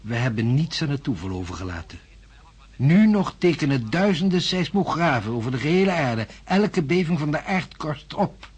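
Impatient science professor, very raspy voice, formal, pedantic, emphatic, radio drama. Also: male voice, fairly high pitch, very animated, moderate pace. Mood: lecturing. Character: impatient, condescending male professor of science, self-assured. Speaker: actor.

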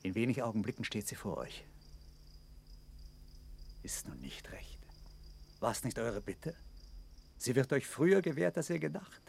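An actor playing an earnest knight in a classical radio drama, counselling urgently with emphatic stage delivery. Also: male voice, mid-range voice, lively intonation, measured pace, vocal fry, pauses often, slight background noise.

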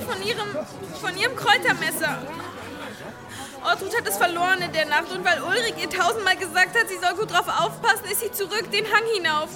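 An actress playing a guilt-ridden, defiant young village daughter in a German audio drama, plaintive and emotional. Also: female voice, extremely high pitch, brisk, over music, a crowd in the background. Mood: guilty, distraught.

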